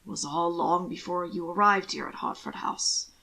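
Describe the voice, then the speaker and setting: A woman voicing an old, somber duke and grandfather, with a weary, low, formal delivery. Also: vocal fry, pushed and bright, heavily acted. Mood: somber, wistful. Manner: slow, formal, wistful.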